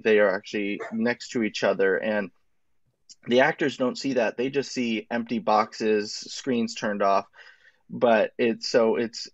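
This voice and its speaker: Dry, slightly muffled audio of a man with a fairly low voice. He explains technical work casually as an interview guest, in a hesitant, halting manner. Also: no echo. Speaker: stage manager and technician.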